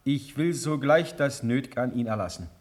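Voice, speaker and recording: A man's voice: mid-range voice, animated, moderate pace, close to an everyday voice. An actor playing a dignified older ruler, fatherly and solemn, declaiming classical verse in a radio drama. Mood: solemn reassurance. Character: older paternal sovereign prince, dignified, tender, decisive.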